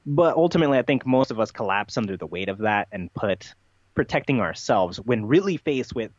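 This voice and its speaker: A man's voice: medium pitch, animated, fairly quick, no room sound. Man chatting in dull, lo-fi audio. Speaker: podcast guest. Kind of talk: conversational interview talk, playful tongue-in-cheek persona.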